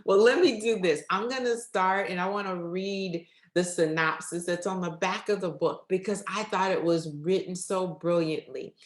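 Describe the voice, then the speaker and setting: A woman's voice: on the low side, fairly even intonation, moderate pace, hardly any pauses, echoey room. Enthusiastic woman hosting a faith podcast. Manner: enthusiastic, preacherly. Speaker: podcast host.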